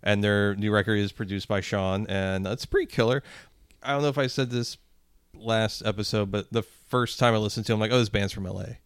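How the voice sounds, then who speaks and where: A man's voice: lowish voice, a little fast, clear, resonant tone, dry recording, studio quality. A man co-hosting a podcast, chatting casually in loose slang with plenty of swearing. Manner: profane, slangy. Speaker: podcast co-host. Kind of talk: casual chat about music.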